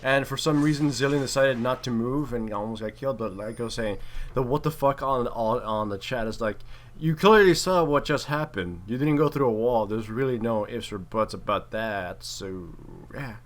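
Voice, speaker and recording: A man's voice: mid-range voice, moderate pace, few pauses. Slangy man commentating over noise. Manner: slangy, profane, opinionated. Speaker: gameplay commentator and player. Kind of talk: casual gaming commentary.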